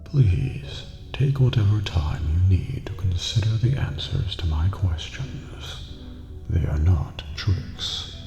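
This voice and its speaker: A man voicing an eerie, courteous old master of a dream prison in a video game ASMR roleplay, speaking formally in a breathy near-whisper. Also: sits low, expressive, heavily acted. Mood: solemn, soothing. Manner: slow, formal, measured, cryptic. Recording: reverberant hall, muffled, music behind. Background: music.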